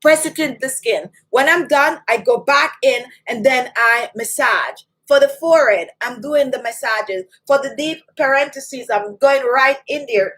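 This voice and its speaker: A woman's voice: high-pitched, measured pace, few pauses, a bit bright, low-quality recording. A middle-aged Jamaican woman from Jamaica, hosting a skincare tutorial to camera, chatty and emphatic, repeating her key points. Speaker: beauty channel host.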